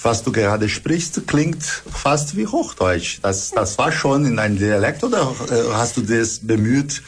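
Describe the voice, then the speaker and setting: A man, a radio host speaking in a halting way and repeating words. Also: medium pitch, animated. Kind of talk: radio interview hosting.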